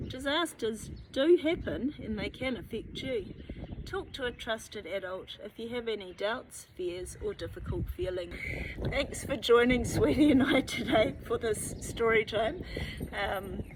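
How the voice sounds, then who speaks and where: A woman with a New Zealand accent hosting a library story time for children in an informal presenting style. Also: medium pitch. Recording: noisy outdoor rural ambience.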